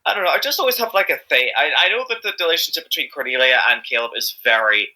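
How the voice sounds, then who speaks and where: A man's voice: on the high side, animated, quick pace, bright, forceful tone. A man chatting casually as an interviewed amateur voice actor, rambling and often repeating himself hesitantly.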